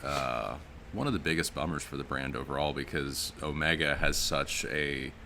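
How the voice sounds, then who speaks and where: A man's voice: rumbling low, moderate pace, bright, forceful tone, a little hiss or hum. A man co-hosting a show, chatting casually with a guest. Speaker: podcast co-host. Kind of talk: casual chat.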